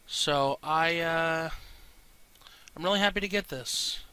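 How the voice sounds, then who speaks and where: A man with a crisp, very bright voice sitting a little above average in pitch. He talks informally over his gameplay, rambling along as he comments. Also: slow pace. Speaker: gaming video creator.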